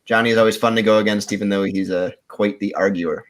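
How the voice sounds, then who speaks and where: A man's voice: lowish voice, fairly even intonation, quick pace. A man chatting casually as a contestant on a podcast. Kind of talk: casual chat.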